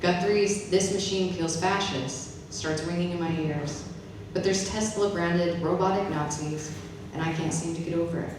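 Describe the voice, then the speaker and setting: A very echoey, noisy recording of a woman at a live poetry reading. Her voice is on the low side and comes from some distance off the mic, in the manner of a spoken-word poet. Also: steady tone, moderate pace, few pauses. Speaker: poet and English professor reading her own poems. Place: reverberant event room with an audience.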